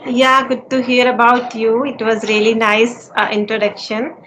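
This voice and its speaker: Indian woman in her 20s, kinda high and bright voice, friendly and earnest, stops to think sometimes. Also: little pitch movement, a little hiss or hum, poor audio. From India (Uttarakhand). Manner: friendly, earnest, pauses to find words. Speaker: YouTuber and conversation partner. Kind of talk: casual conversation practice.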